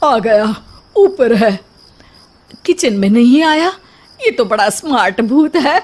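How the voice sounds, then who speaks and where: A woman acts out a family elder in a comic household story. The voice is fairly high and the lines come slowly, as acted dialogue. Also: lively intonation, darkish tone. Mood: excited. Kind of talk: slow story dialogue acting.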